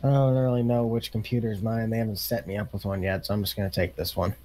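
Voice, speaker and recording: A man's voice: lowish voice, flat delivery, fast, mellow, natural voice. Man playing easygoing farm equipment salesman. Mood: casual, businesslike. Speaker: role-play gamer playing dealership salesman. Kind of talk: improvised role-play dialogue.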